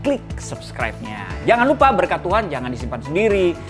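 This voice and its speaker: A woman's voice: lowish voice, very animated, brisk. An Indonesian woman reading a scripted channel promo as an announcer. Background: music.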